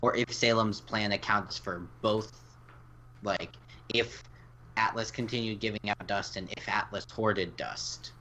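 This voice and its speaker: A man's voice: on the low side, fairly even intonation, a little slow, sharp tone, slight background noise. A man taking part in a casual discussion, musing over theories.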